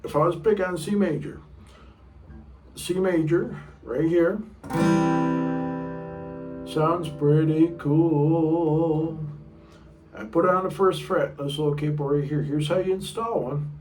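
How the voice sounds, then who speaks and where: A middle-aged man with a baritone voice gives a relaxed, rambling guitar lesson. His speaking pitch sits a little high for a man, and he is heard from a bit away from the mic. Guitar strums ring behind him. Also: slow pace, long pauses. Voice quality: low baritone voice. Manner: chatty, rambling, sings short demo notes. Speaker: guitar teacher. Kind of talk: casual video lesson. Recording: room mic a bit far off, guitar playing.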